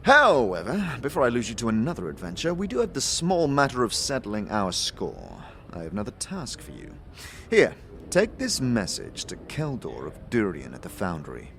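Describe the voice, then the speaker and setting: A man's voice: medium pitch, wildly swooping pitch, normal speed, fairly bright tone, a little hiss or hum. A man voicing a sly, amused barkeep in an audio drama, grandiose and philosophical. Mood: brisk, businesslike. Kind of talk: audio drama character acting.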